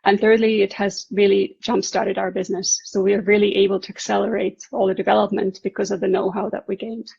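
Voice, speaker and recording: Female panelist from Estonia, founder type, very creaky voice with narrow pitch movement, presenting professionally over slightly dull audio. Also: level tone, brisk, hardly any pauses, a little mellow. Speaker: startup founder and CEO, panelist. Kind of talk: professional self-introduction on a panel.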